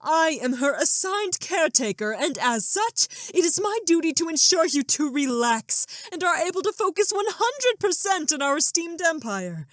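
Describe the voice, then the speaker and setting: Woman playing a prim, high-voiced royal nursemaid. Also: very animated, moderate pace, few pauses, fairly bright tone, no room sound, studio quality, exaggerated voice. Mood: brisk, insistent. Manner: formal, bossy, proper. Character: prim, dutiful, fussy female royal caretaker pony. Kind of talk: animated fan audio drama.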